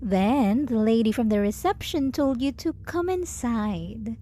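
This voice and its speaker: A woman playing a jealous, possessive yet doting lover in an intimate ASMR roleplay, teasing and condescending with deliberate pauses. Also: fairly high pitch, expressive, warm tone, a little hiss or hum. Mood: teasing, controlled. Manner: slow, teasing, condescending pet-name cooing with deliberate pauses.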